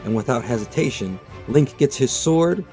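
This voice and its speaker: A man reading scripted, storybook-style narration in a measured, dramatic storytelling manner. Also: medium pitch, expressive, mellow. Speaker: narrator of a manga retelling video. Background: background music.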